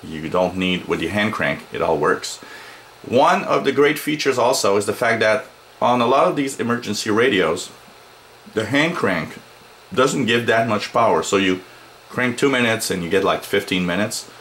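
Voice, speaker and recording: A man giving a casual hands-on gadget review, explaining things plainly and haltingly as the reviewer. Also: fairly low pitch. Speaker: product reviewer. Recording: noisy home recording, steady background noise.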